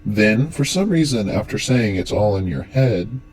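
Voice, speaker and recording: A man's voice: fairly low pitch, lively intonation, a little slow, darkish tone. A man giving scripted commentary as the theatrical host and storyteller of a horror explainer. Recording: music under the voice. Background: music.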